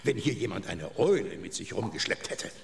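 A man's voice: fairly low pitch, expressive, normal speed, vocal fry. An actor playing a feisty, indignant old man in a children's audio drama, stubborn and protective. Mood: sly, evasive. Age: older. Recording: echoey hall with noisy background.

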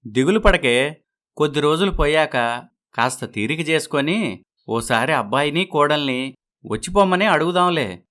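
A man playing a gentle village father in a moral story, speaking in a reassuring and wistful way. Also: fairly high pitch, animated, normal speed, pauses often. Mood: consoling.

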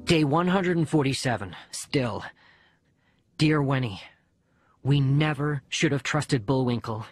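Husky male audiobook narrator. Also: fairly high pitch, no echo. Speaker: audiobook reader. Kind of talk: scripted first-person story narration in a boy's voice.

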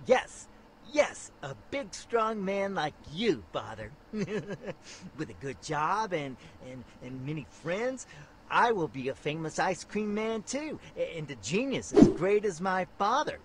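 A man voicing a dreamy young boy who is eager to please his father, speaking softly and hesitantly. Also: high voice, very animated, takes it slowly, a little hiss or hum, exaggerated voice.

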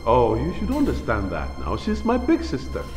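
A man's voice: mid-range voice, wildly swooping pitch, moderate pace. A man playing an evasive, henpecked husband. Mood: exasperated. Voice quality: evasive, placating. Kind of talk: film drama acting. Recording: echoey room with music bed.

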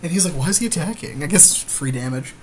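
A man bantering casually as he comments on a game, animated and mock-exasperated. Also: on the high side, fast, noisy background. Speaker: podcast co-host commenting on a card game.

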